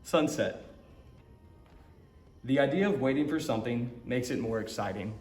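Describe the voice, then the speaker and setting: Man, picture-book read-aloud, medium pitch, echoey room, music in the recording. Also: moderate pace, a little mellow. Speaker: story time reader.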